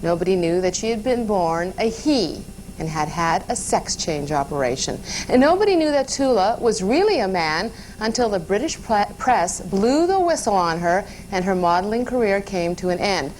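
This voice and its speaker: A woman's voice: medium pitch, expressive, normal speed, darkish tone, a little hiss or hum, low-quality recording. A woman hosting a TV talk show, reading a polished introduction and then asking chatty, prompting questions.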